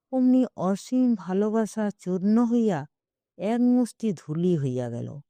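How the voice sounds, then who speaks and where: A woman narrates literary fiction in a measured, expressive way. Her voice is mellow and mid-pitched, and she takes her time over each phrase. The sound is dry. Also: no room sound. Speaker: audiobook narrator. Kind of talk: literary short story narration.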